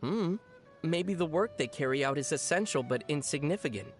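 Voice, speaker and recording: An actor playing a young traveler in a video game, speaking in a measured, thoughtful, dry and gently teasing way. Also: mid-range voice, expressive, faint music behind, close to an everyday voice. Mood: thoughtful, caring. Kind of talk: scripted video game dialogue.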